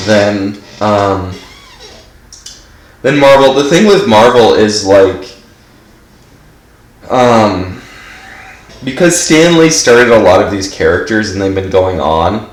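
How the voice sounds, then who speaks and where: A man's voice: fairly low pitch, animated, takes it slowly, long pauses, reverberant, low-quality recording. A man giving casual gameplay commentary while stuffed up from allergies, rambling and filling his speech with "like". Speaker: game streamer.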